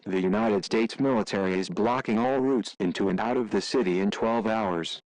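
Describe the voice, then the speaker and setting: A man voicing a stern, impersonal military alert announcer with a flat, official delivery. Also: lowish voice, normal speed, dry recording, close to an everyday voice, low-quality recording. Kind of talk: formal emergency broadcast announcement.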